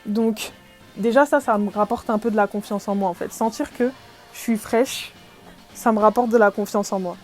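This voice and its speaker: A woman's voice: medium pitch, steady tone, normal speed, darkish tone, faint music behind. A young woman from France chatting casually in a podcast-style vlog, self-deprecating, with many fillers and small laughs. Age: young adult. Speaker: vlogger.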